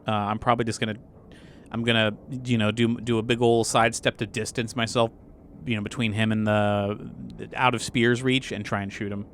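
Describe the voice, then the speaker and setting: A man's voice: lowish voice, little pitch movement, moderate pace, a bit bright, slight background noise. A man playing a tabletop game, chatting in an easygoing way with "you know" fillers. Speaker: player. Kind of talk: casual tabletop game talk.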